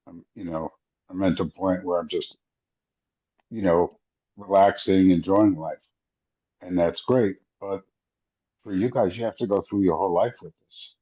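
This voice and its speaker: Older male guest, deep and dark, relaxed and musing; slightly muffled recording. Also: measured pace, pauses often, slightly rough. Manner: reflective, easygoing, trailing off. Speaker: podcast guest. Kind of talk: casual conversation. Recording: dull lo-fi audio.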